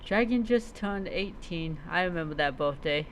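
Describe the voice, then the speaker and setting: A woman telling a story in character, reading aloud haltingly with reminiscing asides. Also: on the low side, expressive, a little hiss or hum. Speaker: roleplay streamer.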